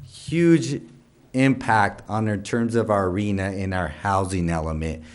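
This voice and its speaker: Lower-voiced man chairing a council meeting. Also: a little slow. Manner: rambling, many restarts, lists items. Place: council chamber.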